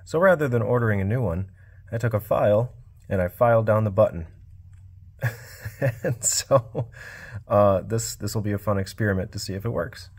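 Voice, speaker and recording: A man with a lowish voice talks through a repair job in a quiet, low-key, fairly monotone way. It sounds like he's recording on his phone while working at the bench, with a casual, unhurried workshop manner. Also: slightly rough. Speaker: small-engine repair vlogger. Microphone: cell phone.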